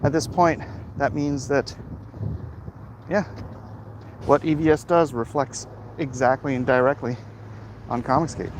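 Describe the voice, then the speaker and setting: A man talking casually off the cuff in a rambling, opinionated, halting way, like a livestream host commenting and answering chat. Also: medium pitch, fairly even intonation. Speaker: host of a livestream vlog, comic creator. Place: outdoors, walking in an urban area. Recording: clear outdoor traffic and street noise.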